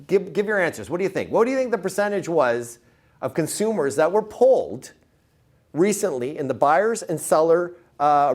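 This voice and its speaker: A man giving an informal motivational sales presentation, repeating words for emphasis and putting questions to his listeners. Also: fairly high pitch. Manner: informal, punchy, asks the audience questions. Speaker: sales trainer presenting to real estate agents.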